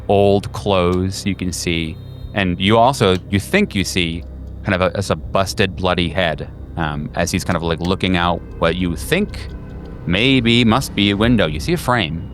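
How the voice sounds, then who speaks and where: A man narrating a tabletop horror game as game master, improvising in a conversational, halting, descriptive way. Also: low voice, fairly bright tone.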